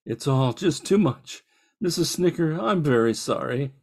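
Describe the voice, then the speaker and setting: A man acts out a harried bakery owner in a 1940s-style radio play. His voice is high and swoops up and down, earnest, polite and easily flustered. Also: expressive, unhurried, gravelly, darkish tone, full character voice. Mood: worried, reluctant. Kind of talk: 1940s radio drama acting.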